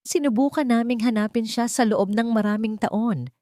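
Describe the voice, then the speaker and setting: A woman's voice: a little high, normal speed, dark tone, dry recording, clean studio sound, voice pushed up, lightly acted. A woman with a Filipino accent voicing a regretful older father in an audio story, telling his past with heavy remorse. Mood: regretful, sorrowful.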